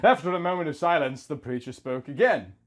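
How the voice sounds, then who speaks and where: A man in his thirties reading a story aloud in a melodramatic, mock-sentimental comic style, swinging between hushed and loud. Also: on the high side, lively intonation, a little fast, loud music behind the voice. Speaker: comic host reading out fan fiction.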